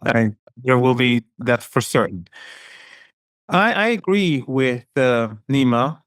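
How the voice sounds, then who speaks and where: A middle-aged man, a professor and interview guest, talking in a measured way with some fillers. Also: mid-range voice, big pitch swings, fairly bright tone, dry recording, clean studio sound. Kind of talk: casual interview answers.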